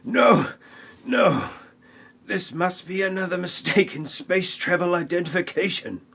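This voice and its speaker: A man's voice: high-pitched, a little slow, fairly bright tone, breathy edge, pitched above the performer's own voice, exaggerated voice. A man voicing a frail, sick old professor, fussy and breathless, in a parody audio play. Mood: fussy disbelief.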